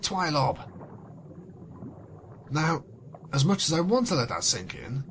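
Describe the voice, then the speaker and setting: A man's voice: mid-range voice, a bit bright, slight background noise. A man reading fan fiction as a narrator, theatrical, with sarcastic, wry asides. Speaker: narrator and commentator.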